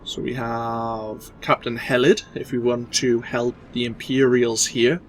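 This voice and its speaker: Host, male, a bit clogged with cold; mid pitch, relaxed talk over faint game noise. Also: unhurried. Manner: relaxed, explanatory. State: stuffy with a cold. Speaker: let's play host. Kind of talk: casual gameplay commentary.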